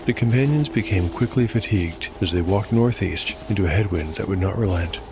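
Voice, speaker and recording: A man reading scripted dark fantasy narration in a measured storytelling manner. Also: deep voice. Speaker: narrator and host. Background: music.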